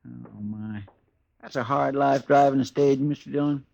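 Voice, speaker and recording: Male actor as good-natured sidekick, creaky voice, Western drawl, folksy, dull 1950s radio recording. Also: mid-range voice, fairly even intonation, normal speed, a little mellow, natural voice. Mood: easygoing, musing. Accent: American Western drawl.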